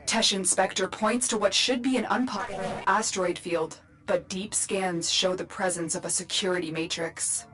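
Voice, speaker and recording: A woman playing a calm, commanding mission guide in a sci-fi game, measured and serious, with music behind her. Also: medium pitch, lightly acted. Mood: composed, directing. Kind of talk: scripted game mission briefing.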